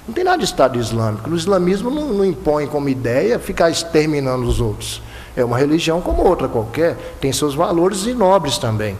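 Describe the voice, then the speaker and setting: A man's voice: on the high side, quick pace. A man from the Minas Gerais and Bahia border with that regional Brazilian accent, an electoral prosecutor arguing emphatically in a lecture-hall debate. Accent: Brazilian Portuguese, Minas–Bahia border. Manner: emphatic, animated, bursts of rising indignation. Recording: long hall reverb, faint noise.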